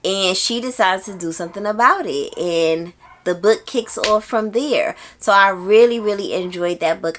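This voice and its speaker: A woman book vlogger talking casually and informally to viewers, using slang and repeating words for emphasis in an expressive way. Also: medium pitch.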